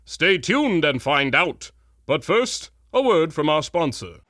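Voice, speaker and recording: Male actor as vintage serial announcer; raised pitch, suspenseful, theatrical radio delivery. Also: very animated, takes it slowly, fairly bright tone, heavily acted. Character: dramatic cliffhanger announcer of an adventure serial, male. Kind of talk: old-time radio announcing.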